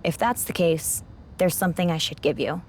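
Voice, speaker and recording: An actress plays a seasoned female wrestling champion in a video game, guarding dangerous secrets. She speaks gravely and cautiously in a slightly low voice, with faint noise in the background. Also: normal speed, lightly acted. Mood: solemn. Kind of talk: cinematic game dialogue.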